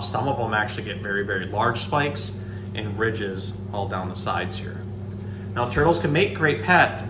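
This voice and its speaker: A man hosts an animal storytime, explaining things in a casual, informal way. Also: medium pitch. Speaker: animal educator and storytime host. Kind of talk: informal nature lesson and picture book read-aloud. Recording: echoey room, clear background noise.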